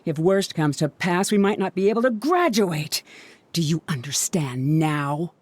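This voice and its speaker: A bitter young female student in a video game drama. Her delivery swings widely in pitch as she scolds and accuses, every phrase loaded with reproach. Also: animated, a little slow, no echo. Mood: bitter, reproachful.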